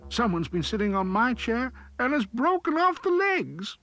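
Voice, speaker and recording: A man voicing a tiny, tearful baby bear from a storybook, wailing in a squeaky little voice. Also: very animated, normal speed, a bit bright, faint music behind, exaggerated voice. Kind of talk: storybook character voice.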